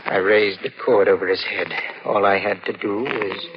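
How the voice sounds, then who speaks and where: A man's voice: lowish voice, fairly even intonation, moderate pace, slight background noise, lightly acted. An actor playing a tormented young man in his twenties, intense and melodramatic, in a 1940s radio drama. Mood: brooding narration. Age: 20s. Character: haunted, tormented young man confessing a murder plot. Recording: muffled old radio broadcast, loud music.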